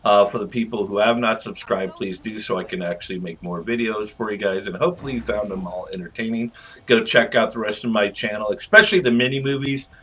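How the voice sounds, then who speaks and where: Bright, forceful-voiced man reviewing a game. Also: fairly low pitch, brisk. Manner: rambling, informal, chatty asides. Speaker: game reviewer and channel host. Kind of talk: casual gameplay commentary.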